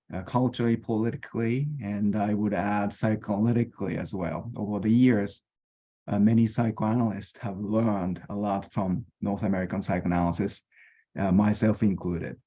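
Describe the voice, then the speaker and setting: A man's voice: fairly low pitch, dark tone. Japanese man, middle-aged, accented English, hesitant webinar speaker, dull lo-fi call audio. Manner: hesitant, many pauses and fillers. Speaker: board candidate. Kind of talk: webinar candidate presentation. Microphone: video call.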